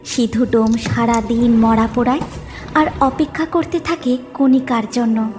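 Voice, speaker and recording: A woman's voice: fairly high pitch, steady tone, measured pace, a little mellow. A woman narrator delivering scripted storytelling narration for a cartoon tale. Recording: music bed behind the voice.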